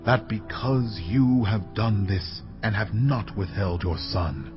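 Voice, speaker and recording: An actor playing a majestic, authoritative angel of the Lord in a dramatized audio Bible, declaiming slowly and solemnly. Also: male voice, fairly low pitch, highs cut off, music in the background.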